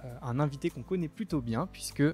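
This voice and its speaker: A man's voice: on the high side, animated, quick pace, dark tone, soft background music. Young man hosting a podcast. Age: young adult. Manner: friendly, scripted intro, clear. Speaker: podcast host. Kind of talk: podcast hosting with sponsor read.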